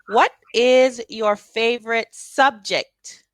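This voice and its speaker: A woman tutoring English over a video call, speaking slowly and patiently and asking simple questions. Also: a little high, fairly even intonation, no room sound. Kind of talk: casual tutoring conversation.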